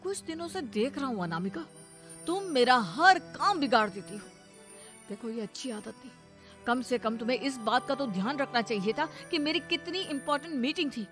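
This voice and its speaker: A woman voicing an exasperated young office-working husband in an animated moral story, scolding and insistent. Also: high voice, moderate pace, faint music behind. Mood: exasperated reproach.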